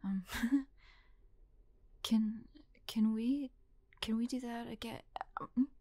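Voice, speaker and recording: A woman playing a devoted young heroine in an audio roleplay, halting and breathy, often dropping into whispers. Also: on the high side, dark tone, dry recording. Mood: shy, tender. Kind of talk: intimate acted audio roleplay.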